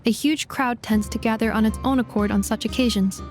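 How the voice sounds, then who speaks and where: A woman's voice: a little high, brisk, darkish tone, natural voice. A woman voicing a precise, polite female android helper in a video game cutscene, formal, literal and clipped. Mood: calm precise. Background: game music.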